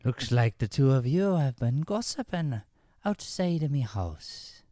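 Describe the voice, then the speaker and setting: A man playing a menacing Irish householder in an improvised radio comedy, taunting with drawn-out threats and an Irish accent. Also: mid-range voice, very animated, mellow, dry recording, studio quality. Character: menacing Irish householder guarding his alley. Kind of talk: improvised old-time radio comedy play.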